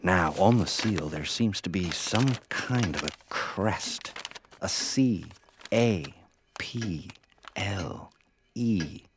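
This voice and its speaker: A man hosting an audio horror anthology, delivering a theatrical scripted introduction in a deliberate, playfully ominous manner. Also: sits low, animated, background noise. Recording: voice over prominent music.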